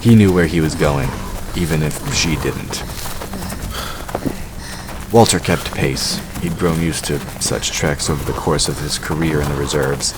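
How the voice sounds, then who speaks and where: Super deep guy narrating a fantasy audio drama, noticeable ambient noise behind him. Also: measured pace. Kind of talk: scripted fantasy story narration.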